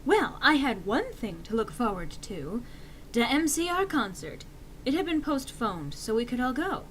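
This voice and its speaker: A woman's voice: a little high, very animated, normal speed, slight background noise, low-quality recording. A woman giving an exaggerated dramatic reading of fan fiction in a theatrical manner. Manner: theatrical, swinging into shouts for quotes.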